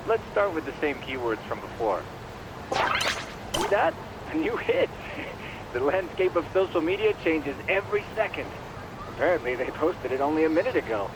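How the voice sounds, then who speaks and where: Eager geek, highish voice, noisy backdrop. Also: male voice, big pitch swings, measured pace, long pauses. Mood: smug, eager. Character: eager, geeky male tech-savvy informant. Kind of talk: English video game dub.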